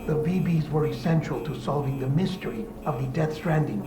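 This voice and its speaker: An actor playing a fussy, secretive middle-aged doctor in a video game cutscene, explaining things in a measured, confiding way. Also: male voice, on the high side, steady tone, noisy background, natural voice. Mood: secretive, urgent.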